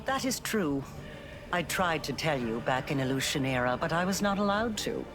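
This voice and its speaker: Grave scholar of dark magic. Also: female voice, low voice, very animated, over music. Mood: somber, matter-of-fact. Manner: deliberate, explanatory, solemn. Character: grave, cryptic female scholar of forbidden demonic magic. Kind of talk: video game voice acting.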